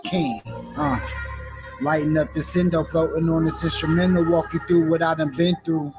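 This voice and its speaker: A man rapping in a flat, laid-back flow. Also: fairly high pitch, moderate pace, music in the background. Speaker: rapper. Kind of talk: rapping over a hip hop beat.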